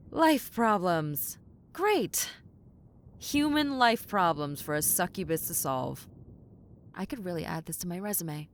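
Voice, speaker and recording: A woman's voice: fairly low pitch, slight background noise. A woman voicing a brash tomboy succubus in a roleplay audio, sarcastic, incredulous and casually blunt. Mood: wry, resigned. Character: brash tomboy succubus demon, blunt, sardonic, reluctantly helpful. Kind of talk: roleplay audio acting, conversational.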